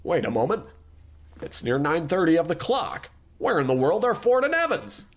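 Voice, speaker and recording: A man playing a pompous tycoon boss, high and sing-song. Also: big pitch swings, moderate pace, a little hiss or hum. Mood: haughty. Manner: pompous, bossy, theatrical. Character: pompous, self-absorbed male animation tycoon boss. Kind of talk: comedic old-time radio acting.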